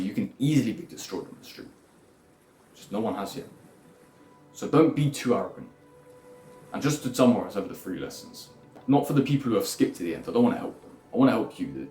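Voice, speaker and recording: A man's voice: mid-range voice, dark tone. A young man lecturing bluntly in a rambling self-improvement monologue, swearing freely. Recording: echoey room with faint music.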